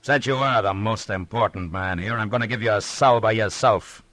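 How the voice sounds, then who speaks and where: An American actor playing a tough, steady frontier marshal in a radio western, speaking in a dry, commanding way. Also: male voice, on the low side, normal speed, no echo, lightly acted. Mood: stern, sardonic. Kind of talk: old-time radio western acting.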